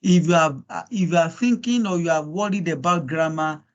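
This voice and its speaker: A man's voice: fairly high pitch, fairly quick, muffled recording. A Nigerian man, a native Yoruba speaker, coaching students in an informal workshop with an encouraging, repetitive manner and rhetorical questions. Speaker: guest speaker coaching students on LinkedIn profiles.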